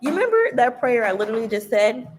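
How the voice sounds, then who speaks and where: A woman with a loud, carrying voice giving a casual tarot reading, emphatic and preachy, swearing freely. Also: fairly high pitch, big pitch swings, fast, slightly rough. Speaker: tarot reader.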